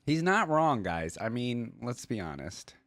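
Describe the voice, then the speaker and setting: A man's voice: medium pitch, big pitch swings, a little slow, noisy background. A man hosting a reaction video, commenting casually and musing aloud in an informal way. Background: faint music.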